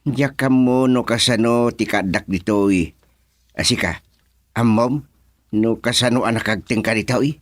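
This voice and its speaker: An actor playing a bewildered man lost in a forest in a radio serial, with a Filipino accent and drawn-out, exclamatory speech. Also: medium pitch, dry recording, studio quality, lightly acted. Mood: questioning.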